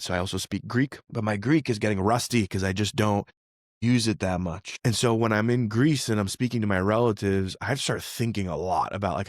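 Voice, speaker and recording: A man hosting a podcast, explaining ideas in a relaxed, conversational way and often checking in with "right?". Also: lowish voice, no echo, clean studio sound. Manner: conversational, frequent "like" and "right?" tag questions. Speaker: podcast host. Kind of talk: casual explanatory talk. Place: living in Philadelphia.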